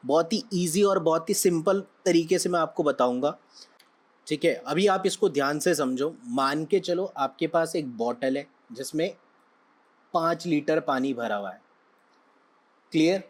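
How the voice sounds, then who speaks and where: A young man, slightly hoarse, teaching a trading lesson in a conversational way, often checking in with his listeners. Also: fairly high pitch, measured pace. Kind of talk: explaining lesson with analogies.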